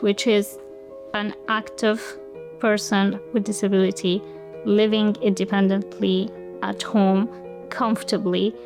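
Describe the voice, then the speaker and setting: A woman from Morocco, a social worker, calmly telling her own story in a testimonial. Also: mid-range voice. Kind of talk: personal testimonial. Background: faint music.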